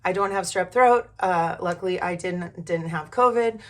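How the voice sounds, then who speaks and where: A middle-aged woman with a hoarse voice tells a personal story in a candid, informal, casual way with some mild swearing. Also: on the low side, moderate pace. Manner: candid, informal, mildly profane. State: hoarse from a recent illness. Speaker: vlogger.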